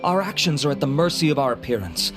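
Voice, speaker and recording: Actor as a high-pitched, pompous, vain male researcher. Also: expressive, fast talker, lightly acted. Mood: grandiose. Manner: pompous, grandiloquent. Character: handsome, vain, suave male researcher and inventor. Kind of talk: theatrical product pitch, video game acting. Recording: music bed behind voice.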